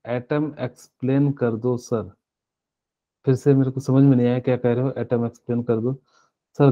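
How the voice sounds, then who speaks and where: A man's voice: medium pitch, mellow, muffled recording. A male chemistry teacher giving a live online class, explaining step by step with many fillers and asking students questions.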